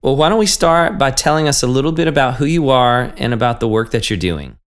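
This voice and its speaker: A man hosting a podcast, reading a measured, earnest introduction and then chatting with his guest. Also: mid-range voice, animated, smooth. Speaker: podcast host. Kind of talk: scripted intro then interview chat.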